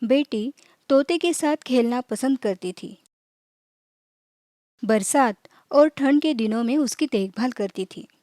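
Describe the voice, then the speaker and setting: A woman narrating a scripted children's moral story as a storyteller, in measured, clearly phrased reading. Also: high-pitched, lively intonation, dark tone, no room sound, clean studio sound.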